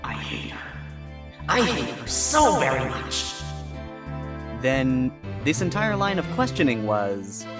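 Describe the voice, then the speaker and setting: A woman voicing a sly male fox defense attorney in an animated courtroom story, sarcastic and theatrical. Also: a bass voice, slow, pauses often, exaggerated voice. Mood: exasperated. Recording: music behind the voice.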